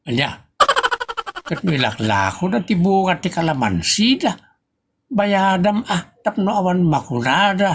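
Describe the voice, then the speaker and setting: Man acting a lively Ilocano husband, reasoning and teasing. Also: high voice, unhurried, husky, exaggerated voice. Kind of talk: comedy audio drama acting.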